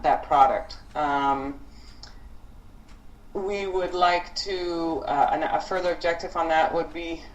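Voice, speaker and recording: A woman leading a conference call briefing for project teams, speaking in a halting, thinking-aloud way with frequent pauses. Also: sits low. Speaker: program leader briefing student project teams. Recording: echoey conference call with background noise.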